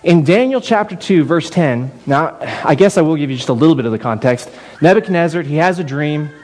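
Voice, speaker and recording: Animated, higher-voiced male preacher. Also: a little fast. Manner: conversational, repeats words and restarts often. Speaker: pastor. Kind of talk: sermon.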